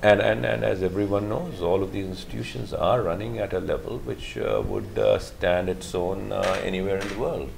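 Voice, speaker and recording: A middle-aged man, a physician answering interview questions in a measured, halting way with many fillers and restarts. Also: low voice, creaky, noisy background. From Pakistan. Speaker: guest, physician.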